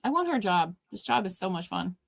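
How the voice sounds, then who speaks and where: A woman with a deep voice hosting a live watch party, chatting casually and answering viewers' comments by name. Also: sing-song, a little fast, gravelly, no echo, clean studio sound. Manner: chatty, warm replies to chat, addresses viewers by name. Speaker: livestream host.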